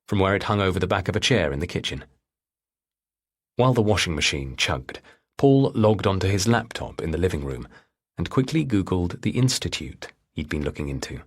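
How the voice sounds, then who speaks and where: A man narrating a thriller novel with a husky voice and measured, deliberate phrasing. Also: deep voice, a bit bright. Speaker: audiobook narrator.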